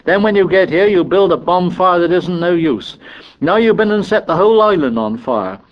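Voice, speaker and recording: A man's voice: sits high, lively intonation, unhurried, lo-fi sound, voice pushed up. A man voicing an indignant, fearful schoolboy who scolds and pleads in ungrammatical working-class British speech in an audiobook story.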